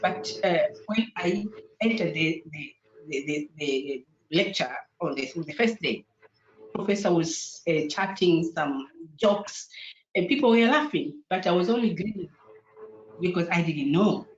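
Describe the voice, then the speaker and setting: A woman's voice: fairly low pitch, animated, unhurried. Tanzanian woman on a roomy, dull call. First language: Sukuma. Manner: hesitant, many fillers and restarts. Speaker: panel guest, engineer. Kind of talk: online panel discussion. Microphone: video call.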